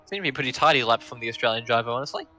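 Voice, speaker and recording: A man commentating an online sim race, easygoing and pausing often with fillers. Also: medium pitch, faint music behind. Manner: relaxed, many filler pauses.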